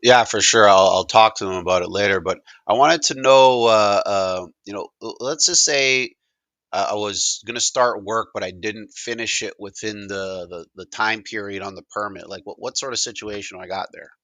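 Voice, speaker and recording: Bright-voiced man, casual and halting. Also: fairly low pitch, no room sound. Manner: halting, informal, thinking aloud. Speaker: caller asking questions in a product demonstration. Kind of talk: casual conversational questioning.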